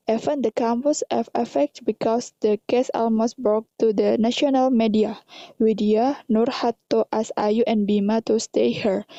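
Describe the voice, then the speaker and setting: Young woman, Indonesian accent, story narrator, high soft-toned voice, muffled lo-fi sound. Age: young adult. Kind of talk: scripted story narration, read out. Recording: muffled, roomy home recording.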